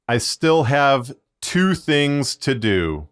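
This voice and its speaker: A man with a smooth, clean voice at a medium pitch narrates a how-to guide. He takes his time, moving methodically through each point. The delivery is scripted but conversational and plain-spoken. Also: sing-song, extremely slow. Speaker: podcast creator and tutorial narrator.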